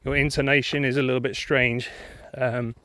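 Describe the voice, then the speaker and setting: A man's voice: medium pitch, moderate pace, dry recording. A man from England chatting casually while walking outdoors, rambling with lots of fillers and a bit out of breath. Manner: rambling, relaxed, many fillers. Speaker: vlogger. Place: outdoors in windy countryside. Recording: loud wind noise on outdoor camera mic.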